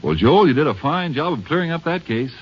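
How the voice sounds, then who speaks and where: A man announcing in 1950s radio style, pitching with a salesman's enthusiasm. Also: sing-song, a little fast, over loud music. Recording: dull 1950 radio recording.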